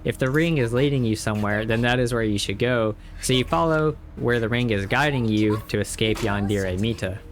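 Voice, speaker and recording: A man with a mid-pitched voice recaps a video game as a reviewer. His narration is scripted but casual. He leans on tongue-in-cheek exaggeration as he tells it. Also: fairly quick, few pauses.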